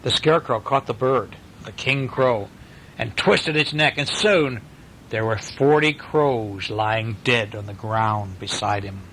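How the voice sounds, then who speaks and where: A man narrating a bedtime story in an animated, informal way, as if to a listener close by. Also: mid-range voice, unhurried, slight background noise.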